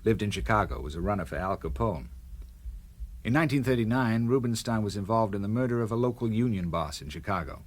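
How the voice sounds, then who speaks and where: A resolute American senator in a radio drama. The voice is low, and he speaks in a cool, firm, uncompromising way with a hard edge of principle. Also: expressive, quick pace. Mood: cold resolve.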